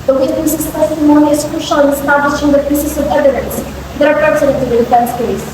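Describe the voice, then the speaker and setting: A woman's voice: high voice, flat delivery, fast talker, distant mic, natural voice. A young woman with a Filipino accent playing a formal defense lawyer in an amateur courtroom drama, heard from afar in an echoey, noisy hall. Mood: formal, brisk. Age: young adult. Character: brisk formal female defense lawyer addressing the judge. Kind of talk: amateur stage courtroom drama, scripted delivery.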